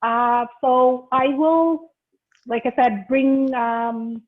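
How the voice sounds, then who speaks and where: A woman's voice: high-pitched, steady tone, very slow. A middle-aged woman from Vietnam informally hosting an online ceremony in a chatty, giggly manner. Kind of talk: informal hosting on a group video call. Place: remote online video call. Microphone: video call microphone. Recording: tinny, band-limited video call audio.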